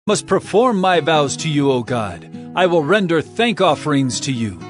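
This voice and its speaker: An actor playing a devout psalmist, reciting Scripture with reverent expression. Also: male voice, fairly high pitch, sing-song, normal speed, soft background music. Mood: devout trust. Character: devout psalmist trusting and thanking God.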